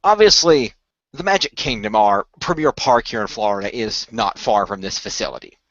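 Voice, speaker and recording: A man's voice: on the low side, animated, moderate pace, a bit bright, no room sound. A man playing an earnest, persuasive company recruiter in a radio play, speaking formally and wordily with careful hedges. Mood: reassuring, persuasive. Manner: formal, wordy, persuasive, hedging. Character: earnest male company recruiter pitching secret magical project. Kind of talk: improvised radio play roleplay. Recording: dull lo-fi voice recording.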